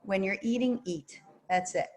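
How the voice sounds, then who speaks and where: A woman therapist and author answering questions at a virtual book talk, calm and soothing, with lively emphasis. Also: medium pitch, moderate pace.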